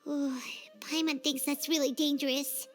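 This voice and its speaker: A woman voicing a small, excitable, childlike fairy companion in a video game dub, naive and exclamatory, with a squeaky voice. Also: normal speed, faint music behind, close to an everyday voice. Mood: curious, worried. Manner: naive, refers to herself by name, exclamatory.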